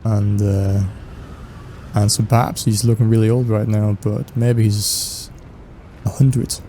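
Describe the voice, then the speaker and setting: Danish guy rambling over gameplay, mellow low-ish voice, lots of uhs, faint noise behind. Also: slow pace. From Denmark. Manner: relaxed, rambling, many fillers and restarts. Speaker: gaming YouTuber. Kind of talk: casual gameplay chat.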